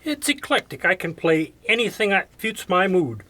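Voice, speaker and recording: A man playing a touchy, defensive radio programmer in an audio drama, answering curtly with a rough edge to his voice. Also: high voice, sing-song, normal speed, pushed and bright, heavily acted. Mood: guarded. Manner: curt, evasive, prickly. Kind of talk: audio theater mystery acting.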